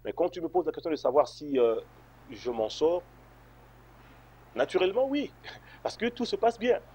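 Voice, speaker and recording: A man's voice: a little high, very animated, moderate pace, warm tone, breathy edge. A man from Congo answering interview questions, hesitant, with many fillers and restarts. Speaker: interview guest.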